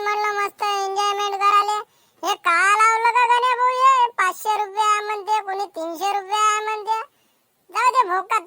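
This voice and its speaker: A very high, pleading cartoon boy's voice with a Vidarbhi Marathi lilt. He whines and begs in a thin, shrill tone. A steady hiss of noise sits under the cartoon track. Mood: complaining. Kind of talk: cartoon comedy voice acting. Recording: noisy cartoon soundtrack.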